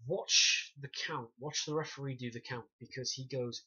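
A man, reviewing a documentary to camera in a small room, animated and demonstrating punches with wry sarcastic asides. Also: mid-range voice, moderate pace, slight background noise. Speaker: self-defence instructor reviewing a documentary. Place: small room indoors, banner behind. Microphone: webcam at desk distance. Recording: dull lo-fi webcam audio.

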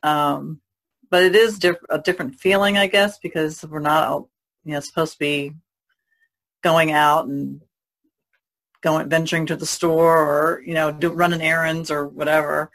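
A woman's voice: deep voice, steady tone, a little slow, a bit bright, low-quality recording. A woman speaking casually as a podcast panelist.

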